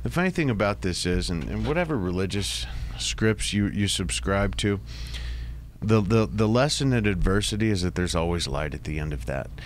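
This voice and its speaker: A man's voice: on the low side, expressive, normal speed, slight background noise. A man hosting a talk radio interview, speaking emphatically in a sermon-like way and repeating phrases for effect. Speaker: radio show host.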